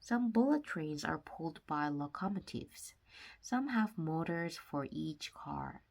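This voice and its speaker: A woman reading a children's nonfiction book aloud with simple, deliberate phrasing, sometimes stumbling over words. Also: deep voice, big pitch swings, creaky, darkish tone. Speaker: read-aloud narrator of children's books.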